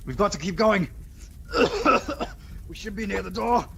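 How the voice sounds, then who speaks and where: A man's voice: sits high, big pitch swings, a little slow, gravelly, a bit bright, slight background noise, heavily acted. A man playing a resolute crime-fighting avenger in old-time radio drama style, with a dramatic, authoritative delivery. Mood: urgent. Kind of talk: 1940s radio drama acting.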